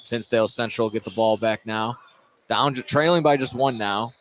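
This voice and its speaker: A man's voice: lowish voice, moderate pace. An American man doing live radio play-by-play of a basketball game, sometimes stumbling over names and restarting phrases. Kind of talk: live radio sports commentary. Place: courtside in a high school basketball gym. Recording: clear gym noise behind the voice. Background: gym ambience, basketball bounces.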